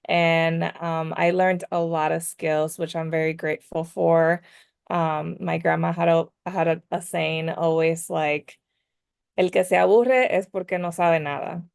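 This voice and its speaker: A woman's voice: deep voice, steady tone, unhurried, creaky, clear, resonant tone, dry recording, clean studio sound. A woman, telling personal stories casually as a podcast guest, sometimes switching between English and Spanish. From Dominican Republic. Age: 30s. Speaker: podcast guest, clothing brand owner.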